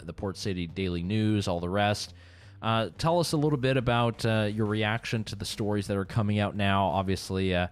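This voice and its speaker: A male radio talk show host giving opinionated commentary in a sarcastic, animated way. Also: fairly low pitch, clean tone, dry recording. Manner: animated, sarcastic, laughs while ranting.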